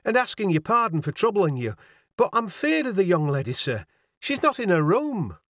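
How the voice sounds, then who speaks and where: A man's voice: sits high, wildly swooping pitch, normal speed, no room sound, studio quality, voice pushed up, exaggerated voice. A man voicing a fussy, kindly Yorkshire landlady in a storybook, exclaiming in deferential dialect and easily alarmed. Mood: flustered, alarmed.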